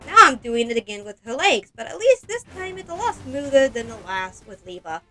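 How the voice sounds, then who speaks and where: A woman's voice: high voice, very animated, fairly quick, pushed and bright, a little hiss or hum. A woman narrating a casual craft vlog in a rambling, self-deprecating and exclamatory way, as the creator of doll customization videos.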